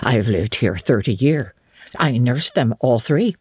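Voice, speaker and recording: An old Northern English servant woman from a classic storybook, blunt and homely, speaking in broad dialect. The voice is rough and husky and swings widely in pitch, recorded close and dry. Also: lively intonation, a little slow, darkish tone, no echo, heavily acted. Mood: matter-of-fact. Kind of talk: dramatic audiobook reading of a classic novel.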